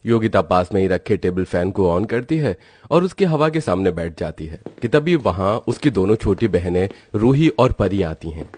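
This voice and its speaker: A man's voice: deep voice, big pitch swings, normal speed, a little mellow, breathy edge, no room sound. A man reading scripted storybook narration as the narrator of a tale.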